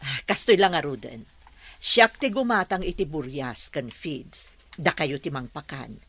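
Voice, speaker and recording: A woman plays a practical, helpful village teacher in an audio drama. Her Ilocano-accented voice sits fairly low and moves around with lively intonation, recorded dry. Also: unhurried, husky, no room sound, exaggerated voice. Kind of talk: Ilocano radio-style drama acting.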